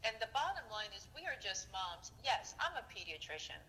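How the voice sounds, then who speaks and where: A middle-aged woman pediatrician in a phone interview, talking in a lively way, laughing often and acting out what she says to people. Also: medium pitch, a little fast, slight background noise, low-quality recording. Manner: lively, laughs often, acts out quoted remarks. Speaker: pediatrician guest. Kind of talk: phone interview, animated storytelling. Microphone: phone line.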